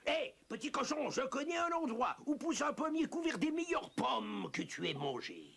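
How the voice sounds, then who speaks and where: A man's voice: extremely high pitch, huge pitch swings, moderate pace, creaky, breathy edge, heavily acted. A man voicing a sly, greedy big bad wolf in a French children's cartoon, theatrical and wheedling. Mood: wheedling, falsely friendly. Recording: loud music behind the voice.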